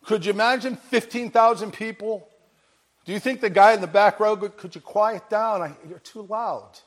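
A male pastor with an unusually high-pitched, big voice delivers a sermon. He tells the story with energy and acts out the lines people say. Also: moderate pace. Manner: animated storytelling, acting out bits of dialogue.

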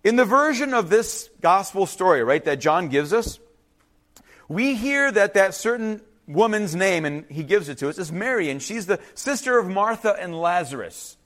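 A man's voice: high voice, big pitch swings, normal speed, a bit bright. A man who grew up speaking Ukrainian preaches a sermon, reading and explaining scripture in an informal, conversational way with asides to his listeners.

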